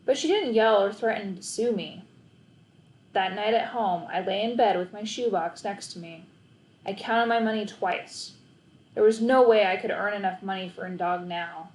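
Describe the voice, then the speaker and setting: Lady doing read aloud narration of a childrens story, normal pitch, roomy echo. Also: darkish tone. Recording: echoey, roomy sound.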